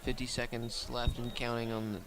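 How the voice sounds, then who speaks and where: Male sports commentator, level and unexcitable pitch, calling a live football game on radio from the field. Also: completely flat delivery, moderate pace, a crowd in the background. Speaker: play-by-play commentator. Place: outdoors at a high school football field.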